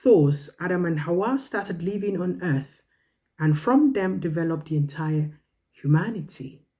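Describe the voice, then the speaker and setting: A woman's voice: on the low side, expressive, measured pace, mellow. A woman storyteller speaking to children, drawing moral and religious lessons in an earnest, emphatic, instructive manner.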